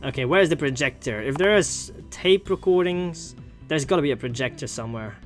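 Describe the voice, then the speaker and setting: Animated game streamer with music behind. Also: medium pitch, fairly quick. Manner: animated, voices the characters while reading. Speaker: gaming video host. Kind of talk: gaming let's play, reading visual novel dialogue aloud.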